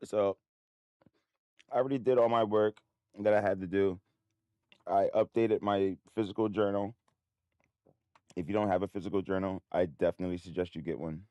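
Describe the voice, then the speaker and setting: A man hosting a trading livestream in a casual, rambling way, his voice a bit hoarse. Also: deep voice, steady tone, normal speed, pauses often, mellow, dry recording. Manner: rambling, many restarts and fillers. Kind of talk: casual live-stream talk.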